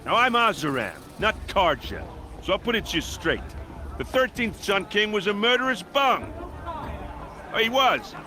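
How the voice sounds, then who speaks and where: An actor playing a blunt, earnest envoy, speaking plainly and emphatically to persuade a crowd in a fantasy game. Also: male voice, high-pitched, very animated, measured pace. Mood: loud, insistent. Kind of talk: impassioned speech in a fantasy game. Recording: game music behind the voice.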